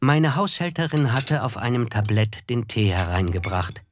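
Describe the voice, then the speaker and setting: A man's voice: medium pitch, normal speed, slight background noise. A man reading literary, scripted narration as the narrator of a radio play. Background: music.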